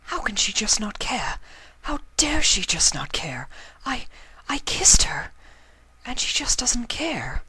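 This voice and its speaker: A performer voicing an emotional young female pony, hurt and stammering, in a breathy, whispery falsetto. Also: big pitch swings, slow, pushed and bright, exaggerated voice. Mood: indignant, tearful. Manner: stammering, pleading.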